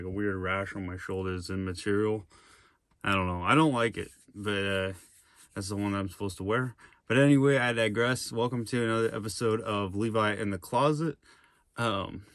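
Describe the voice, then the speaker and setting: Low, flat-voiced young man rambling casually. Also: no room sound, studio quality. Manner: rambling, with many fillers and tangents. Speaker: vlogger. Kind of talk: casual vlog monologue.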